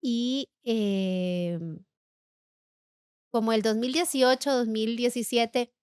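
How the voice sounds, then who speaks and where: A woman's voice: fairly high pitch, slow pace, clean tone, no echo, clean studio sound. A middle-aged woman from Bolivia, telling her story as a guest in a casual interview, with frequent hesitations. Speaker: guest, business co-founder.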